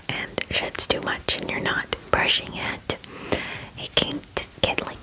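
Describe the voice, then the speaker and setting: A woman in her twenties voicing a gentle, attentive werewolf spa groomer in a soothing ASMR roleplay, whispering breathily and softly repeating words with hesitant pauses. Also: poor audio. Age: 20s. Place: small dim indoor room. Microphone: close microphone, facing camera.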